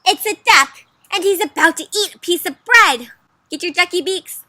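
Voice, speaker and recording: A woman voicing an excitable, insistent picture-book character, exclaiming emphatically for young children. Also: sits high, slow pace, no echo, voice pushed up, heavily acted. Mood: excited. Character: excitable, insistent person sure they see a duck.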